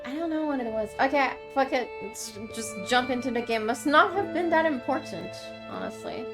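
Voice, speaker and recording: A high-voiced woman with a Quebec French accent chats loosely with her stream viewers, trailing off and laughing as she goes. Plain game music plays clearly behind her throughout. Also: very animated, normal speed. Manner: chatty, rambling, laughs often, thanks viewers. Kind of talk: casual chat with viewers.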